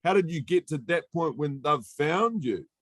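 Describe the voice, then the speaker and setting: A man's voice: on the high side, expressive, normal speed, fairly bright tone, dry recording, studio quality. A young man with a New Zealand accent hosting an interview, joking and laughing often. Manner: jokey, laughs often, many "like" fillers. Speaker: podcast host. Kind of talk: casual interview chat.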